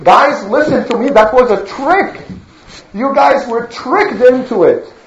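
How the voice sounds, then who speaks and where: A woman's voice: fairly high pitch, lively intonation, a little slow, slight background noise. A woman voicing an earnest old male prophet who pleads with his people, in a roomy, slightly dull recording. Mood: pleading.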